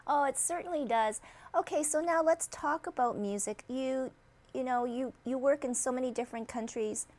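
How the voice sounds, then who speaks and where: A woman's voice: high voice, normal speed, a little mellow. A woman hosting an interview show, upbeat and chatty with loose, rambling questions. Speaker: show host.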